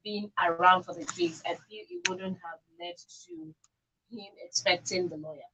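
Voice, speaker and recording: A woman's voice: mid-range voice, big pitch swings, a little slow, gravelly, noisy background. A Nigerian woman talking reflectively as a panelist on a radio discussion, voicing other people's words as she makes her point. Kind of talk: conversational discussion on a radio talk show.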